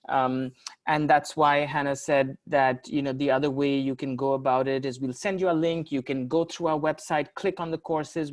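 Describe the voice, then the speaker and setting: A man moderating a webinar over a video call, polite and facilitating, with frequent fillers. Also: on the high side. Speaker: webinar host.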